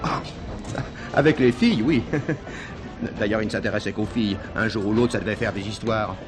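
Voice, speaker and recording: A man's voice: lowish voice, normal speed, lightly acted. An actor playing a chatty, theatrical backstage man in a French stage comedy-mystery, exclaiming and teasing as he evades questions. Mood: exclamatory, gossipy.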